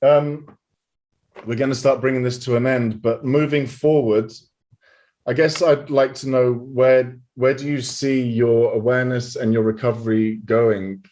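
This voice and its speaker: A man's voice: medium pitch, steady tone, unhurried, darkish tone. A man hosting a podcast, talking casually, affirming his guest and swearing lightly. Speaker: podcast host. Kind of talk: casual interview chat.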